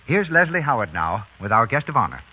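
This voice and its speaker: An American man announcing and pitching in 1930s radio commercial style, with emphatic salesman phrasing. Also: very animated, quick pace. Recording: scratchy narrowband 1930s radio broadcast.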